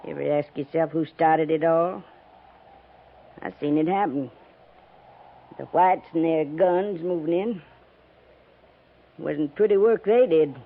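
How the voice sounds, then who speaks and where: A woman's voice: low-pitched, a little slow, long pauses. An actress playing a tough, stubborn frontier homesteader woman with a rural American accent and a blunt, folksy manner in a radio western. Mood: reflective. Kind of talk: 1950s radio western acting. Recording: tinny, band-limited 1950s radio recording.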